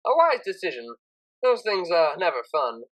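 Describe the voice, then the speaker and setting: A woman's voice: fairly low pitch, very animated, normal speed, low-quality recording. Kindly male pony tutor, played by a woman, storybook mentor, encouraging, good-humoured, varied intonation. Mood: wry.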